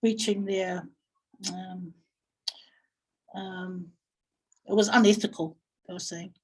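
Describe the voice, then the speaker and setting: A woman giving a reflective talk as a guest speaker, telling stories and thinking aloud with halting, repeated phrases. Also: on the low side, steady tone, a little mellow, rough recording. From Samoa or Samoan community in New Zealand. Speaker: guest speaker, family violence practitioner. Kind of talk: reflective webinar talk, storytelling.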